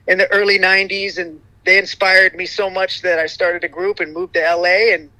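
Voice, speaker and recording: A middle-aged man talks casually as an interview guest. His voice sits high and sounds very bright and cutting, and it stays light all the way through. The recording is dry and close, with no room sound behind him. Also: little pitch movement, brisk, no echo, poor audio. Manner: rambling, many "you know" fillers and restarts. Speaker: interview guest, singer in a vocal group.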